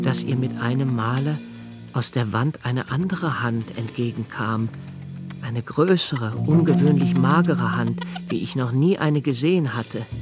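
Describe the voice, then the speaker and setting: A middle-aged man giving a quiet, measured, inward first-person narration in a literary radio play, recorded in a studio. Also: medium pitch.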